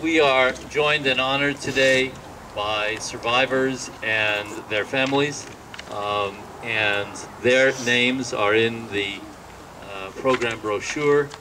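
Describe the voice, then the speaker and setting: A man hosts a ceremony in a semi-formal way, stopping often on ums as he goes. His voice has an average pitch. The microphone is some distance off and the noise around him is clear. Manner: halting, hesitant, many ums. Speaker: master of ceremonies for a congregation.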